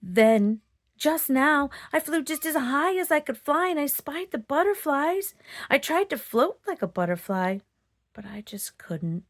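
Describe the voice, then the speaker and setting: A woman's voice: sits high, very animated, unhurried, no echo, clean studio sound, voice pushed up. A woman voicing a tiny young female winged horse in a children's storybook, sad and earnest as she searches for who she is. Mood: tearful, bewildered. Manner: plaintive, earnest.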